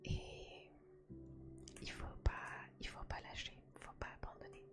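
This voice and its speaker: A woman whispering a casual ASMR chat to her viewer, hushed, gentle and reassuring. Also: a little slow, loud music behind the voice. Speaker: ASMR video host.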